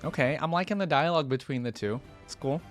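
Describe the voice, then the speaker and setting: A man's voice: a little high, fast, soft background music. A man hosting a reaction video, talking casually and earnestly like an enthusiastic fan.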